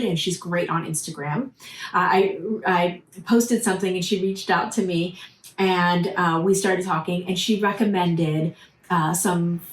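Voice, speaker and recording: A woman talking casually and with enthusiasm about books she has been reading, often pausing with "uh" and restarting her sentences. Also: lowish voice, roomy sound, poor audio. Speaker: book vlogger. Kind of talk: casual book review talk.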